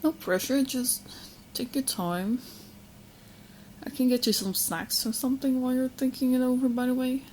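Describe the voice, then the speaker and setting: A woman's voice: sits high, steady tone, normal speed, a little mellow, a little hiss or hum, lightly acted, rough recording. A woman playing an obsessive, smug young witch in love, chatting coyly to the listener in a roleplay audio drama. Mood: sweet, coaxing. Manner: coy, smug, chatty, hesitant asides.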